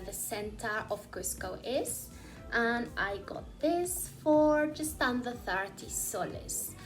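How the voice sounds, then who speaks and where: A woman's voice: a little high, low-quality recording. A young woman from Peru, chatting casually in a vlog and explaining things with frequent "uh" fillers. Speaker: vlogger. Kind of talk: casual vlog recommending souvenirs.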